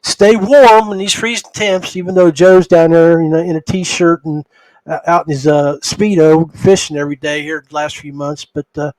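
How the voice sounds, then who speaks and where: Guy podcast host, kinda high voice, folksy buddy-buddy chat, really dry close sound. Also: steady tone, moderate pace, a little mellow, dry recording, low-quality recording. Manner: folksy, familiar, addresses listeners as guys and buddy. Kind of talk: casual fishing podcast chat.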